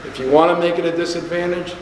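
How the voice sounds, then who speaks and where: A man's voice: fairly high pitch, steady tone, fast talker, background noise. A man on a panel making his point earnestly. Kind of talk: panel discussion. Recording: echoey hall.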